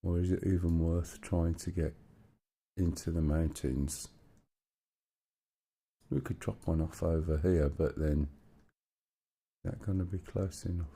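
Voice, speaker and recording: Hoarse, very deep-voiced man. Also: fairly even intonation, measured pace, pauses often, mellow. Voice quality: rumbly. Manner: muses aloud to himself while checking a map. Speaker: streamer. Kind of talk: casual gaming livestream chat.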